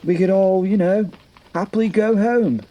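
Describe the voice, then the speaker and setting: An actor playing a wry, battle-hardened but nervous medieval knight in an audio drama, halting and stammering. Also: male voice, high voice, fairly even intonation, warm tone, dull audio, natural voice. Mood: nervous confiding. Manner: stammering, hesitant, chuckling.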